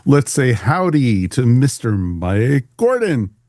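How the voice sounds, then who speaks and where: A man's voice: medium pitch, big pitch swings, slow pace, darkish tone, no echo, studio quality. A man hosting a podcast in a casual, chatty way, with a jokey, folksy manner. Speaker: podcast host.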